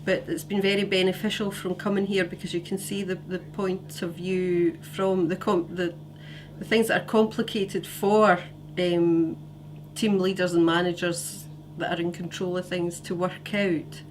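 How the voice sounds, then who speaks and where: A middle-aged woman with a Scottish accent, a parent carer giving gentle, hesitant interview answers with many pauses. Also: fairly low pitch, flat delivery. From Scotland. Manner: gentle, pausing with many fillers. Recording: noisy recording with hum.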